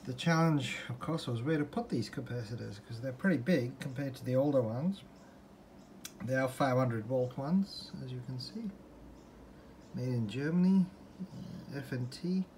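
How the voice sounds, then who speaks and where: A man with a medium-pitched voice talks through a hobby repair in an informal, unscripted way. He explains as he goes, somewhat hesitantly, thinking aloud. It is recorded on a handheld phone indoors, with faint noise in the background. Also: normal speed, darkish tone. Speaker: hobbyist restorer presenting a repair. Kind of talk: informal unscripted workbench walkthrough. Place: indoors at a desk in a room. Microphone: handheld phone or camera, behind the lens.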